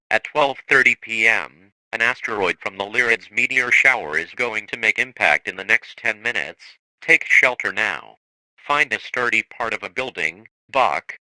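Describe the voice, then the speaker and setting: Man doing a stern emergency broadcast announcer, stiff and measured, very crisp bright tone, dry. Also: lowish voice, dry recording, poor audio.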